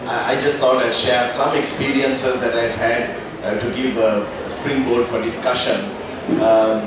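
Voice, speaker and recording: A man in his fifties from Sri Lanka, speaking thoughtfully with hesitant restarts at a press briefing. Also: medium pitch. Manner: thoughtful, hesitant, repeating starts. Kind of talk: reflective talk with light laughs. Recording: echoey room, mic at a distance, clear background noise.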